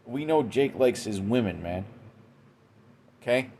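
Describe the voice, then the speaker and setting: A man's voice: mid-range voice, lively intonation, unhurried, darkish tone, slight background noise. Man preaching, ending lines with okay?. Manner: tags almost every sentence with "okay?" and "man"; frequent restarts and repeated words. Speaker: religious commentator. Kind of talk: informal preaching monologue. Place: indoors, Western New York.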